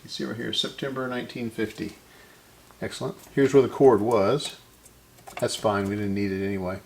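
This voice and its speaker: A man with a gravelly voice casually narrating his hands-on repair work as host, musing and thinking aloud as he goes. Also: lowish voice, steady tone, normal speed. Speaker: host of a vintage radio repair video.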